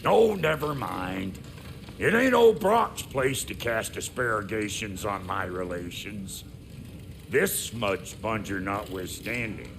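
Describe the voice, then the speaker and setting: An actor voicing a cranky, foul-mouthed dwarf blacksmith in a video game, gruff and teasing, with a folksy American accent and backwoods grammar. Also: male voice, lowish voice, animated, slow, a bit bright, a little hiss or hum, exaggerated voice. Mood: grumbling. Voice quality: gravelly. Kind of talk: video game character acting.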